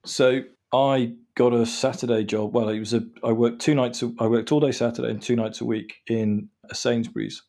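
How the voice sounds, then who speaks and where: A man's voice: medium pitch, little pitch movement, normal speed, few pauses, darkish tone. A man from England in his forties, a guest on an interview podcast, chatty and self-deprecating as he gives advice and tells stories.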